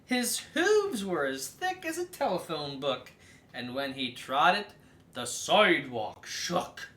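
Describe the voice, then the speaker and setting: Slow, very animated storybook reader, roomy sound. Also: medium pitch. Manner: theatrical, slow and drawn-out with emphatic stresses. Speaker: read-aloud host. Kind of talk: expressive children's storybook read-aloud.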